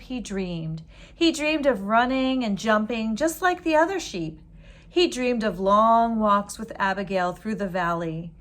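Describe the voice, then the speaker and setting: Expressive woman reading a storybook. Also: medium pitch. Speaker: teacher reading a book aloud. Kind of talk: children's storybook read-aloud.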